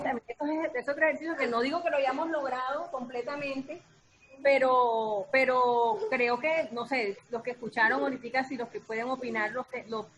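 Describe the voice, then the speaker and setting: A woman's voice: high voice, a bit bright, slight background noise, rough recording. A woman talking casually and expressively as an actress, searching for words and repeating herself. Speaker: radio theatre actress. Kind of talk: casual talk about creative process.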